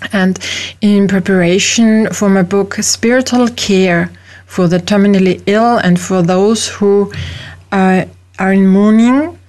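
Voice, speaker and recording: A woman's voice: mid-range voice, darkish tone. A woman from Austria, a native German speaker with an Austrian German accent, hosting a radio talk show in careful, hesitant English.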